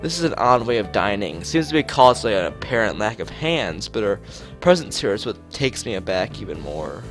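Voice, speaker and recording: A man's voice: fairly low pitch, big pitch swings, normal speed, fairly bright tone, faint music behind. A man reading visual novel text aloud as a streamer, sometimes stumbling and rereading phrases.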